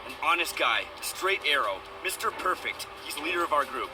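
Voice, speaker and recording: An actor playing an earnest, chatty amateur superhero in a video game, speaking informally. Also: male voice, a little high, big pitch swings, a little fast, rough recording. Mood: eager, friendly. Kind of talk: video game cutscene acting. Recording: loud music behind the voice. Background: loud game music.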